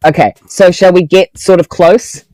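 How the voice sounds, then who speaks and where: A man's voice: high voice, fairly even intonation, moderate pace, music in the background. A man playing a tabletop role-playing game, talking casually with the group as a player.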